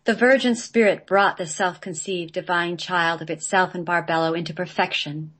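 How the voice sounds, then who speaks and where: Woman, lowish pitch, measured, solemn, scripted radio play narration. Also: steady tone, a bit bright. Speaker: guest, playwright reading own play.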